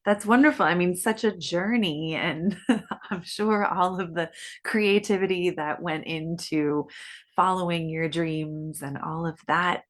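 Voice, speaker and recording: A woman's voice: lowish voice, measured pace. A woman hosting a creativity podcast, upbeat and encouraging as she introduces and questions her guest. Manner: upbeat and encouraging, laughs easily. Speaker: podcast host.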